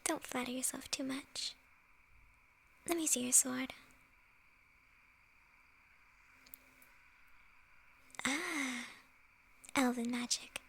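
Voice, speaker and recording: A woman voicing a sly, flirtatious witch in an ASMR fantasy roleplay, teasing in a soft, breathy whisper. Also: sits high, a little hiss or hum, exaggerated voice. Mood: teasing, playful. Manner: slow, teasing, with soft chuckles.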